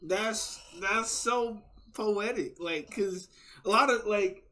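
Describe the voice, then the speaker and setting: A man's voice: high-pitched, slow, sharp tone, slight background noise. A man hosting a reaction video, giving casual, rambling fan commentary in an effusive, slangy, repetitive way.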